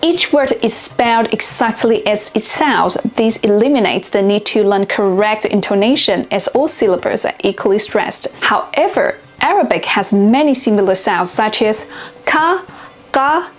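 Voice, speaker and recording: A young woman, a native Chinese speaker, narrating a scripted language lesson and slowing down carefully on example words. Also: mid-range voice, animated, faint music behind. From China. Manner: clear, deliberate, slows down to pronounce example words. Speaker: language teacher and video host.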